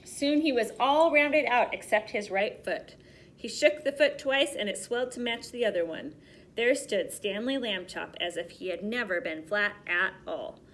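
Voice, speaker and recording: A woman teacher reading a storybook aloud to young children and giving simple directions in an expressive way. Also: medium pitch, normal speed, poor audio.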